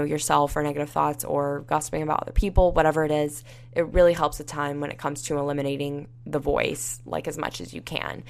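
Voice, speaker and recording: A young woman hosting a solo podcast, talking casually with lots of fillers, her voice raspy and tired. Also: deep voice. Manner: rambling, many fillers like "like" and "you know". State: tired and dehydrated. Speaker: podcast host.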